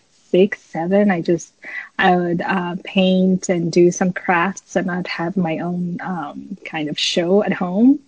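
A woman from Ethiopia, around her thirties, telling her personal story casually as a podcast guest, pausing often as she gathers her thoughts. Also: fairly low pitch, slightly rough, dry recording. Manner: hesitant, many pauses while gathering thoughts. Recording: slightly dull, muffled lo-fi audio.

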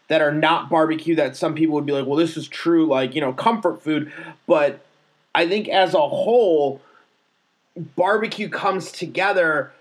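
A man hosting a relaxed conversation, speaking in a somewhat high voice. He stumbles over his openings and repeats words before finding his point. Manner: stammering restarts, relaxed. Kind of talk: casual chat.

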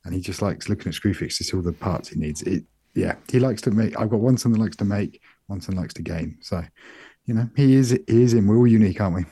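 A middle-aged British man co-hosting a podcast, chatting casually in a soft, rambling way. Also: on the low side, fast talker, breathy edge, no echo. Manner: soft-spoken, relaxed and rambling. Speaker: podcast co-host. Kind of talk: casual chat.

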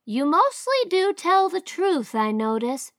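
A woman's voice: very high voice, sing-song, measured pace, a bit bright, no room sound, studio quality, pitched above the performer's own voice, full character voice. A woman voicing a chatty, eager six-year-old boy. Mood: chattering eager. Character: boastful lively small boy, about six.

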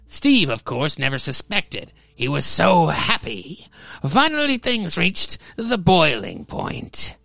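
A man's voice: a little high, sing-song, slow, vocal fry, bright, forceful tone, dry recording. A man narrating a scripted story dramatically, as the host of a story podcast. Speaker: podcast host reading stories.

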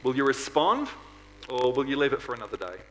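A man's voice: medium pitch, sing-song, brisk, a little hiss or hum. A man preaching a sermon to a congregation in an earnest manner, often putting rhetorical questions to his listeners. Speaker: preacher at a church.

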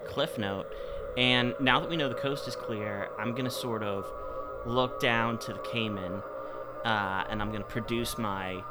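A man's voice: lowish voice, a little slow. A man playing a tabletop role-playing game, casually describing his character's actions and often restating himself. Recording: music behind the voice. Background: music.

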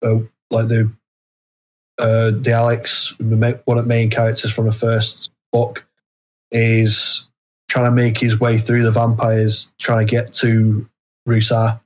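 A British man, an author being interviewed, talking casually in a halting, rambling, unassuming way. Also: mid-range voice, monotone. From United Kingdom. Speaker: interview guest, indie horror author. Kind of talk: casual chat.

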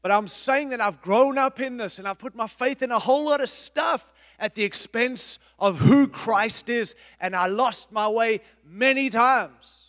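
A middle-aged man, a pastor, preaching a sermon in an emphatic, repetitive style with big swings in loudness. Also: very high-pitched, unhurried, big-room sound. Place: church.

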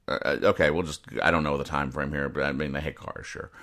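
A man hosting a comedy podcast, explaining things in a deadpan way with halting restarts and emphatic repetition. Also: a bass voice. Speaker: podcast host. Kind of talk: deadpan comedic explanation of newspaper comic strips.

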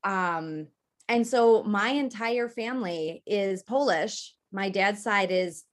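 A woman from northern Wisconsin talks as a podcast guest. She is lively and chatty, with quick asides. Her pitch sits in the middle of the female range. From northern Wisconsin, USA. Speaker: guest, copper cookware maker. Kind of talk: casual interview chat.

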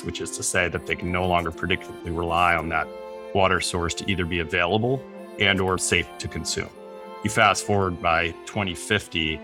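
A man speaking in an informative way, steadily laying out figures and projections. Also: sits low. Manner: delivers figures and statistics steadily. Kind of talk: informative interview talk. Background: background music.

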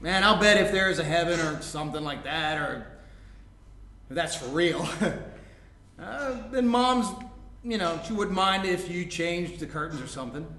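A man's voice: on the high side, animated, a little slow, sharp tone, a little hiss or hum, full character voice. A man playing a brash, athletic older brother in his thirties who needles in mocking slang, in a staged comedic play reading. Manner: slangy, mocking, jock-like delivery. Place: indoor room before a live audience. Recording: roomy live stage recording.

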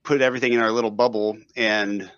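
A man joining a casual conversation as a guest, easygoing and musing aloud with plenty of fillers. His voice sits low. The recording is slightly muffled and dry. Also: fairly even intonation, fast, fairly bright tone, no room sound. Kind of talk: casual discussion.

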